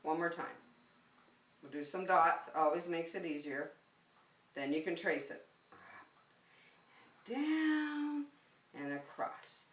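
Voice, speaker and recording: A woman's voice: lowish voice, animated, slow, long pauses. A woman preschool teacher giving simple, encouraging instructions to young children in a circle-time lesson. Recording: echoey room, distant microphone.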